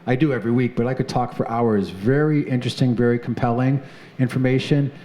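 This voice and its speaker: A man's voice: mid-range voice, fairly even intonation, mellow. Earnest man hosting a talk show. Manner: earnest, halting, with restarts and fillers. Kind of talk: conversational interview.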